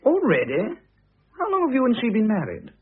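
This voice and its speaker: An actor playing a cheeky, dry-witted young student son in an old-fashioned radio drama, flippant and teasing. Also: male voice, sits high, huge pitch swings, fairly quick. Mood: sardonic. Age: young adult. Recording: muffled lo-fi old radio play.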